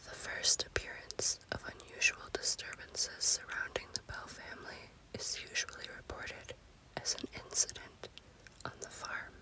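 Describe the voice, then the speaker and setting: Slow, crisp whispering woman, ASMR storyteller. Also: rough recording. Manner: hushed, unhurried whispering. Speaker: host and storyteller.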